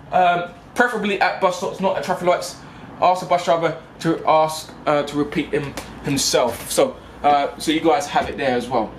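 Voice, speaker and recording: Young male vlogger, slightly high voice, halting and repetitive casual explainer, background noise inside a bus. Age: 20s. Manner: halting, repetitive, with frequent check-in tags like "okay?" and "right?". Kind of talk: casual explanatory vlog addressed to passengers. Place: inside a parked double-decker bus. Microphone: handheld camera at arm's length.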